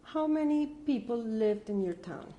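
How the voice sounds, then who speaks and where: A woman's voice: fairly high pitch, lively intonation, unhurried, highs cut off, slight background noise. A woman conducting an oral history interview, formal and careful, spelling out names.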